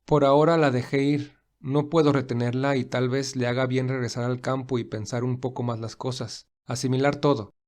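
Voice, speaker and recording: Man acting an earnest, devoted young gentleman. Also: medium pitch, flat delivery, normal speed, few pauses, natural voice. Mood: pensive, determined. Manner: earnest, reflective.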